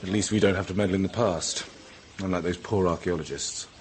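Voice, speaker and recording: Actor as smug, low-voiced British playboy. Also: male voice, fairly even intonation, brisk, background noise, lightly acted. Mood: complacent, flippant. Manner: blasé, flippant, casually boastful. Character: smug, crude, complacent pleasure-loving young man. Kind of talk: radio drama dialogue.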